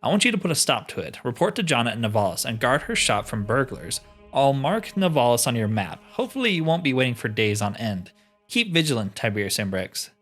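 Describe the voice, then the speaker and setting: A man's voice: medium pitch, big pitch swings, a little fast, fairly bright tone, soft background music. A man voicing an earnest, formal guild fighter from a fantasy role-playing game, speaking in declarations. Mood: official, approving.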